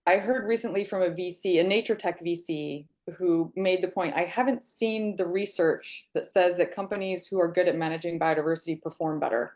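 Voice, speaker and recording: A woman's voice: fairly low pitch, a little fast, few pauses, darkish tone. A woman talking in an online group discussion, casual and conversational, with lots of "like" and "um". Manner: conversational, many fillers like "like" and "um". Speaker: panel participant. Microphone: video call. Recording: muffled video call audio.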